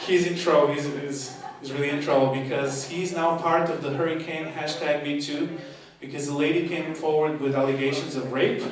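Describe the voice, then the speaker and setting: Young stand-up comic, chatty and self-mocking, fairly high voice, echoey hall, miked from far off. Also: male voice, steady tone, moderate pace, few pauses, a crowd in the background. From half Portuguese, half Argentinian. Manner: chatty, playful, self-mocking, talks to the audience. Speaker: stand-up comedian and course leader. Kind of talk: stand-up comedy set. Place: live comedy show in a hall. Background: audience laughter.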